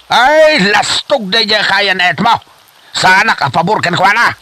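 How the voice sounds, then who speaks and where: A man's voice: high-pitched, animated, a little slow, sharp tone, no echo, exaggerated voice. A man playing a scolding, sarcastic father in an Ilocano comedy drama, with an Ilocano accent and theatrical nagging delivery. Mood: exasperated. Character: exasperated, scolding father of an ambitious daughter. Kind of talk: Ilocano comedy radio-style drama acting.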